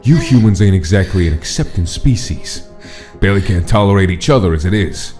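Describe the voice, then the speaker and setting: Skeleton mobster for a comic dub, male actor: rough, very low, mellow-dark, menacing and mockingly flirtatious. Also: expressive, a little fast. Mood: bitter, sneering. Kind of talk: dramatic comic dub acting. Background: music.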